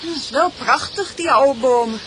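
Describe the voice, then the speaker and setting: An actress playing a calm, lightly teasing wife in a Dutch radio drama. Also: female voice, sits high, moderate pace, noisy background, lightly acted. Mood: pleasant.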